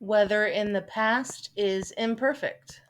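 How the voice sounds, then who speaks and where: Thirtysomething woman teaching a lesson, slow and chatty, lots of ums and little asides. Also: medium pitch, fairly even intonation. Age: 30s. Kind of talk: recorded online lesson. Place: at home, seated at a desk.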